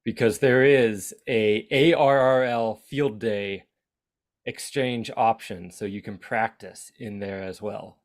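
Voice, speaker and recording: A man in a casual livestream chat, making a quick, cheerful aside. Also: on the low side, fairly even intonation.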